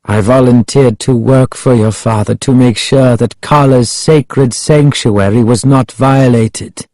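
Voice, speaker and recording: A man's voice: medium pitch, steady tone, darkish tone, dry recording, lightly acted, low-quality recording. Man acting a solemn, menacing guardian of a curse. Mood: grave. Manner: solemn, proclaiming, slow and deliberate. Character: menacing fanatical male guardian of an ancient curse. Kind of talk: audiobook character acting.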